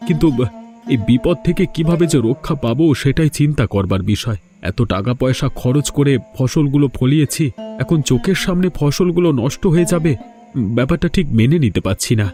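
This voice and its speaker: A man's voice: a little high, expressive, a little fast, dark tone, faint music behind, close to an everyday voice. A man playing a worried village farmer and husband in a cartoon story, complaining emphatically. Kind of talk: cartoon moral-story voice acting.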